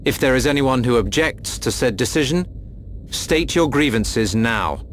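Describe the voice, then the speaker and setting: A dignified royal regent acted by a man in a video game drama. He speaks with formal authority and stately care at a medium pitch. A faint ambience lies behind him. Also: expressive, a little slow, slight background noise, close to an everyday voice.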